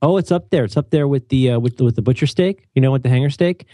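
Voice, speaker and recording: A man hosting a casual chat, animated and opinionated, joking often and restarting his sentences. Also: medium pitch, quick pace, no echo, clean studio sound. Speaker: podcast host.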